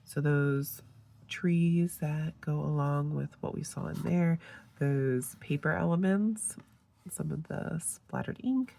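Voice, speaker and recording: A woman getting over a head cold, sounding a little nasal, casually showing off a sticker kit with relaxed, gushing asides. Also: deep voice, slow pace, creaky. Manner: relaxed, gushing, with fillers and soft trailing asides. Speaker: planner sticker reviewer. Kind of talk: casual show-and-tell narration.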